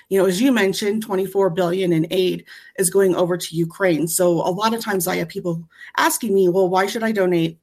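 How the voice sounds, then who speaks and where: Lower-voiced woman explaining earnestly. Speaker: interview guest, aid volunteer. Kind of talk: earnest explanatory interview talk.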